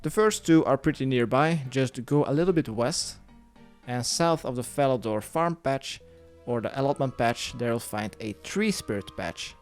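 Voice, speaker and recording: A man's voice: medium pitch, moderate pace, smooth. A man narrating a step-by-step video game guide, giving plainly worded instructions as a tutorial voice-over. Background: music.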